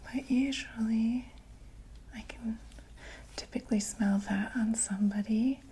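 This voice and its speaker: A woman playing a gentle vampire doctor in a whispered ASMR medical roleplay, reassuring and a little hesitant. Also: on the high side, fairly even intonation, measured pace, a little hiss or hum, natural voice, poor audio. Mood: calm, reassuring. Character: gentle female vampire doctor examining a patient.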